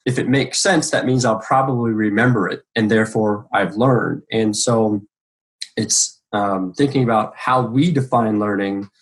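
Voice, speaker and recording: A middle-aged American man answering interview questions in an online talk, speaking with many pauses and restarts. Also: lowish voice, low-quality recording. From United States. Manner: halting, many ums and restarts. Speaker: education professor.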